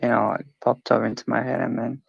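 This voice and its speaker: A man from Nepal chatting over an online call, relaxed and friendly, using many fillers. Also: medium pitch, quick pace, gravelly. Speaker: online chat partner. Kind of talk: casual chat. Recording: dull, lo-fi online call audio.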